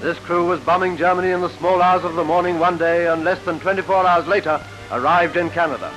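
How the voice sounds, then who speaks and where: British guy doing rousing ww2 newsreel voiceover, highish voice, loud music behind, old 1940s film sound. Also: fairly even intonation, quick pace, poor audio. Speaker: newsreel narrator. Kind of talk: 1940s wartime newsreel commentary, scripted.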